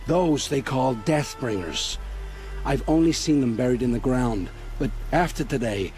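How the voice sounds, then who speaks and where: A male actor as a broken, guilt-ridden man who speaks gravely and with resignation, pleading for his family. His voice sits at an ordinary male pitch in a dramatic game scene, with faint music underneath. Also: animated, a little slow, a little mellow, lightly acted. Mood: grave foreboding. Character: remorseful condemned man, coerced accomplice who loves his family. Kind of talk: dramatic video game acting.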